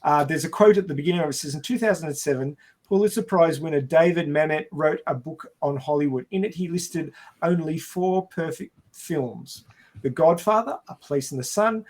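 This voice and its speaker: A man's voice: a little high, brisk, darkish tone. A man hosting a podcast, recapping and casually discussing a film. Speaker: podcast host.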